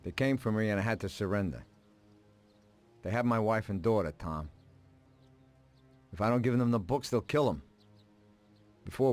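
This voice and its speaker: A low male voice over music, an actor as an ageing American mobster who is worn out and resigned. He talks haltingly, like a family man pleading his case in a crime game drama. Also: darkish tone, close to an everyday voice. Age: older. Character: weary older mob accountant, resigned family man. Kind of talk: dramatic video game voice acting. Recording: music behind the voice. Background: background music.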